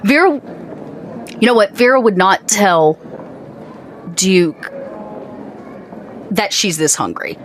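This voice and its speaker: A woman's voice: mid-range voice, animated, slow, pauses often, faint music behind, rough recording. A woman casually narrating her character's choices as a player in a tabletop roleplay game.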